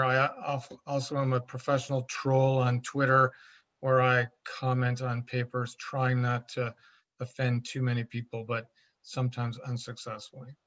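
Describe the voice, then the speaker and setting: A man's voice: medium pitch, a bit bright. Middle-aged guy on a zoom panel, wry and self-deprecating, kinda muffled lo-fi sound. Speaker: panelist, endocrinologist. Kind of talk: introducing himself on a video panel. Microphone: video call. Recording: dull muffled video-call audio.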